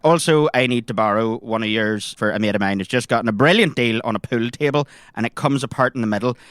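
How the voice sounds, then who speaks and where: A man with a Northern Irish accent playing a chatty, eager character in improvised comedy, colloquial and animated. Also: mid-range voice, quick pace, clear, resonant tone, no echo, clean studio sound, natural voice. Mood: matter-of-fact. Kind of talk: improvised comedy role-play.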